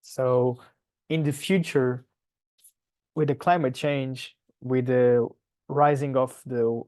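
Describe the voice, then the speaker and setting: A young man from Portugal with a Portuguese accent, giving conversational interview answers in a halting way, searching for words and repeating phrases. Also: mid-range voice, steady tone, darkish tone. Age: young adult. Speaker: podcast guest, polar science PhD student.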